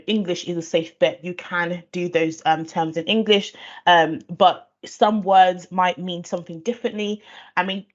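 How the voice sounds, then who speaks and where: A woman from Nigeria who grew up speaking Igbo, explaining SEO in a thoughtful conversational way, with fillers and restarts. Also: fairly low pitch. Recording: dull lo-fi audio.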